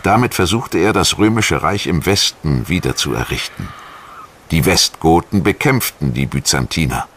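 A man's voice: extremely low pitch, lively intonation, normal speed, a bit bright, slight background noise. A man reading scripted documentary narration as the narrator. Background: background music.

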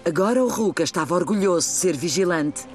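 A woman voicing a gentle storybook narrator for a children's cartoon, with a European Portuguese accent. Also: on the low side, animated, fast talker, faint music behind, lightly acted. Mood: gentle storytelling.

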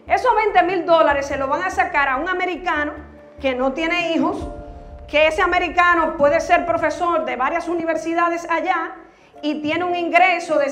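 A woman's voice: sits high, fairly bright tone. A middle-aged woman with a Dominican Spanish accent, explaining things emphatically in an informal public talk, speaking into a handheld microphone in a room. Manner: emphatic, explanatory, with rhetorical questions. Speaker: speaker, activist. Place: indoor room at a speaking event. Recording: roomy echo, music underneath.

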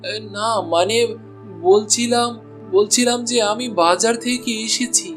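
A man plays a meek, honest cartoon butcher with a high-pitched voice. He sounds earnest and pleading, like a put-upon husband. Soft music runs underneath. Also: measured pace. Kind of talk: Bengali cartoon voice acting.

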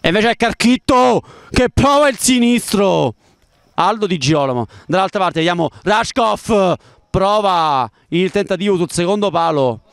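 Man, live football play-by-play, excitable and emphatic, high voice, resonant tone. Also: normal speed, clear, resonant tone, dry recording. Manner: excitable, emphatic, drawing out key words. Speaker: football match commentator. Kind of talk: live play-by-play sports commentary.

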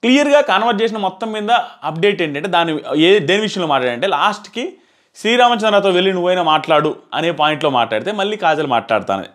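Fast, high-pitched man reviewing to camera. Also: animated, fairly bright tone. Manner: emphatic, repeats phrases for stress. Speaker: reality show reviewer. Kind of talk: casual spoken review to camera. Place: small plain room indoors. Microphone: clip-on lapel mic.

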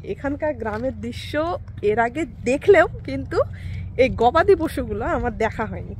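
A woman's voice: a little high, lively intonation, normal speed, a little mellow, a little hiss or hum. A woman from India, narrating a casual vlog in a chatty, rambling way with hesitant fillers. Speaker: vlogger.

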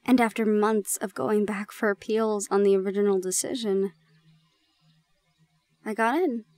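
A woman playing a shy, gentle young gorgon in an intimate audio roleplay, speaking softly and haltingly. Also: medium pitch, fairly even intonation, dry recording, lightly acted. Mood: reflective, confiding. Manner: soft, hesitant, halting.

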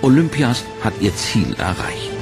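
A man giving scripted, measured documentary narration in a dramatic tone. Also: mid-range voice, big pitch swings. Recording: narration over loud music.

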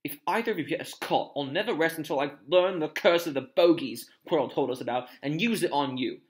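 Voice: medium pitch, fairly quick, lightly acted. A storybook reader voicing a hot-tempered schoolboy, blunt and irritable. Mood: threatening.

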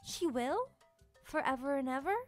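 Storybook little girl, played by a woman: very high, childlike, imaginative, earnest big sister. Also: expressive, normal speed, faint music behind. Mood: hopeful. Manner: earnest, wide-eyed, childlike.

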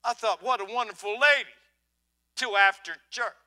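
Older male preacher, folksy storyteller, tense bright tone, lapel mic in a church hall. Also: high voice, moderate pace. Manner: folksy, swings from soft to loud, asks "huh?". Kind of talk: sermon. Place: church auditorium stage.

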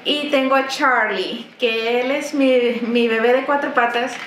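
A woman, chatting warmly as an interview guest in an office. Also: on the high side, unhurried. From Texas–Mexico border. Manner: chatty, warm, laughs often. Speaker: business owner guest. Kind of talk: interview answers. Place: office, seated at desk. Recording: roomy office with faint noise.

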